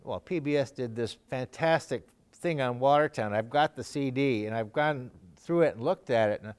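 A man's voice: medium pitch, normal speed, clear, resonant tone. A middle-aged man from Canada giving a casual interview, rambling with many fillers and restarts. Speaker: donor interviewee. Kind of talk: conversational interview.

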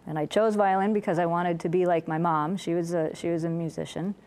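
New woman, lowish voice, matter-of-fact, talking about her music teaching. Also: steady tone, a little fast, a little mellow. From Central New York. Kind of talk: casual interview answers.

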